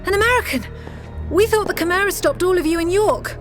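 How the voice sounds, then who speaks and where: An actress with a British accent playing a composed, wary military officer, narrating in a grave, measured, clipped way for a video game. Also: female voice, very high-pitched, soft background music. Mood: wary, curt.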